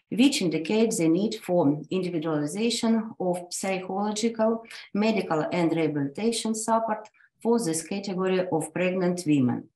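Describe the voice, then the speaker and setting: A woman from Russia, a native Russian speaker with a Russian accent, reading a medical conference talk in a halting way with frequent restarts. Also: mid-range voice, a little mellow, roomy sound, low-quality recording. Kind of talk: reading an academic presentation.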